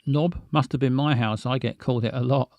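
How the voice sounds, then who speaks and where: A man's voice: mid-range voice, fairly even intonation, a little fast, darkish tone, no echo. A man, casually chatting with stream viewers in a rambling, stammering, self-mocking way. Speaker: livestream host.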